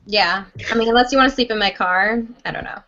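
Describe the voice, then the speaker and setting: A woman's voice: mid-range voice, quick pace, sharp tone, dull audio. A woman joining a live stream as the host's friend, easygoing and joking in casual chat.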